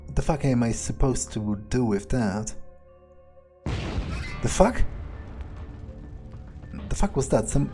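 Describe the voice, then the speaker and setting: Mellow sardonic man thinking aloud. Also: mid-range voice. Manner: sardonic, muttering, heavy swearing. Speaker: gameplay streamer roleplaying in character. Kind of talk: in-character game playthrough, thinking aloud. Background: game music.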